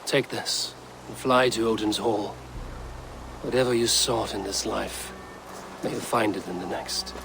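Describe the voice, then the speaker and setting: A man's voice: on the low side, measured pace, pauses often. An actor playing a proud, fierce male Viking chieftain who speaks solemnly and gives commands. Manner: solemn, commanding, oath-swearing. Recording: game audio with noisy background.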